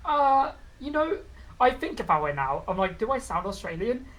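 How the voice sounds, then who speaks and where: A man's voice: sits high, big pitch swings, moderate pace, fairly bright tone, low-quality recording. A young British man with a regional accent, a VTuber guest chatting casually and rambling with lots of fillers. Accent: British, non-London regional. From Britain. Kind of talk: casual interview chat.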